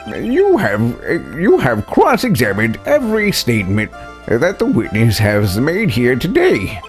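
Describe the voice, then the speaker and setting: Guy doing a flustered old game judge, slow and stammery, pitch swinging all over. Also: huge pitch swings, soft background music. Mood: solemn. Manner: halting, stammering. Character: flustered older male courtroom judge. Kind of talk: video game voice-over, read live.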